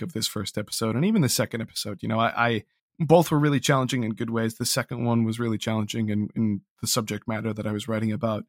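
A man's voice: on the low side, no room sound. Earnest man in reflective interview, dry sound. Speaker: interview guest, audio drama writer. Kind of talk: reflective, casual interview talk.

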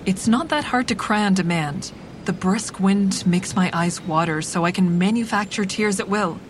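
An actress playing a clever, manipulative woman who narrates a crime thriller audio drama in a measured, deliberate way. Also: mid-range voice, a little hiss or hum, natural voice. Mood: cool, calculating. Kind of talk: scripted first-person audio drama narration.

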